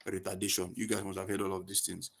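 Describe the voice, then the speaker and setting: Low-voiced man teaching on a call. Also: monotone, quick pace, gravelly. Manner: asks leading questions and fills in the answers. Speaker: physics tutor. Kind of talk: online lesson. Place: online video call. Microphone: headset.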